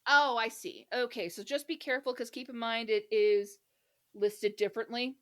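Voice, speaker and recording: A woman with a fairly high voice hosts a casual, joking podcast. Her delivery is animated and giggly, and she swears without hesitation. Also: moderate pace. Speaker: podcast host. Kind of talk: casual humorous chat.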